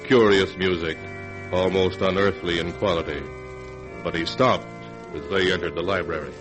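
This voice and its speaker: An actor playing an ominous narrator of horror tales, speaking solemnly and dramatically in 1940s radio style. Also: male voice, sits low, fairly even intonation, normal speed, close to an everyday voice. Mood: eerie. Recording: old 1940s radio recording. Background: music.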